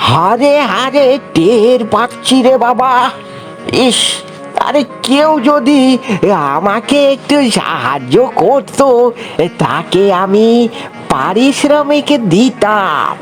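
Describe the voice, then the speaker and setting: An actor plays an old villager uncle from a cartoon, grumbling and sly. The delivery is slow and drawn out, full of groans and repeated words. Light music runs behind the voice. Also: medium pitch, sing-song. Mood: weary groaning. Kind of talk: Bangla cartoon voice acting.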